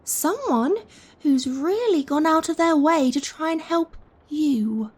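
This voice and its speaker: A woman playing a gentle, possessive giant vampire in an ASMR roleplay, coaxing and softly commanding the listener. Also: high-pitched, expressive. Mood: reassuring, persuasive. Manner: slow, coaxing, softly commanding, breathy sighs and chuckles.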